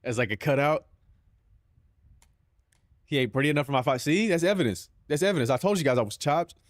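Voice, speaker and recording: A man's voice: a little high, animated, a little fast, no echo, studio quality. A young man with a Southern Californian accent, chatting casually on a stream in loose, profane banter full of "bro".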